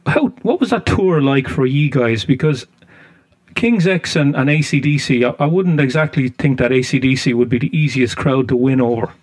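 A man's voice: medium pitch, normal speed, no echo. A man with an Irish accent interviewing a musician on the radio, asking long, rambling questions with plenty of fillers. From Ireland. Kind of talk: casual interview chat.

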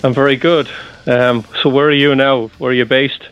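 A man's voice: medium pitch, steady tone, normal speed, a bit bright, clean tone, no room sound, faint music behind. A man from Ireland with an Irish accent, hosting a friendly interview and chatting easily. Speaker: podcast interviewer. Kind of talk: remote interview chat. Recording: dull lo-fi dry sound.

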